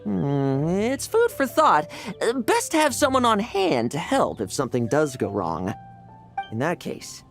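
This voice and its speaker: A man's voice: fairly high pitch, wildly swooping pitch, unhurried, vocal fry, faint music behind, exaggerated voice. A man voicing a playful, cocky young knight in an anime game, with a teasing, sardonic, theatrical delivery. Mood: wry, confident. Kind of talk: anime game character voice acting.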